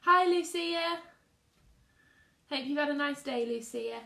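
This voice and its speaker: A woman's voice: high voice, takes it slowly, gravelly, pushed and bright. A British woman, a primary school teacher, chatting casually on a livestream and greeting viewers in a chirpy, sing-song way. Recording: roomy, echoey room sound.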